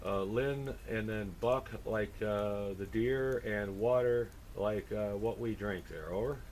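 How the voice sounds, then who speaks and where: A man chatting casually as an amateur radio operator, halting and thinking aloud as he goes. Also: on the low side, noisy background. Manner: halting, thinking aloud, spells with phonetic alphabet. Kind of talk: casual two-way radio chat.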